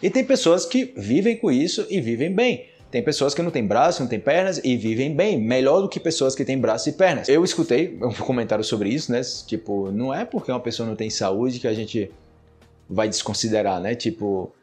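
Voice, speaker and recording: A man from Brazil with a Brazilian Portuguese accent hosting a casual solo podcast, talking conversationally and growing animated when he acts out what people say. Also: medium pitch, fairly quick. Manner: animated, rises sharply when acting out quoted remarks; conversational, with frequent tag questions. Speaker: podcast host teaching Portuguese.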